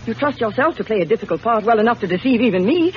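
An actress playing a proud, cunning, theatrical wife in emphatic 1940s radio drama style. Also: female voice, a little high, expressive, very fast, natural voice. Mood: teasing, superior. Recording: muffled, noisy vintage radio recording.

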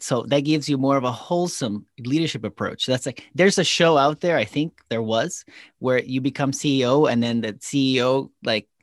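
A man's voice: mid-range voice, no room sound, studio quality. A man hosting a podcast, moving between scripted introduction and conversational interviewing. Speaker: podcast host.

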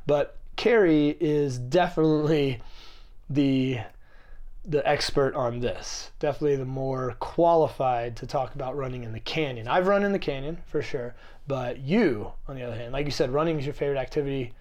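Slightly raspy man, rambling podcast chat. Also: medium pitch, moderate pace, darkish tone. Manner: rambling, jokey, many fillers. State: recovering from a cold. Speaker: podcast co-host. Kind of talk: casual chat.

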